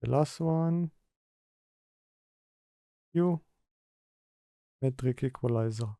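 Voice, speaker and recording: Slow, gravelly man giving a software tutorial. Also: mid-range voice, dry recording, clean studio sound. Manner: halting, mutters step by step while working. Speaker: tutorial presenter. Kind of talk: informal screen-recorded software tutorial, partly read from notes.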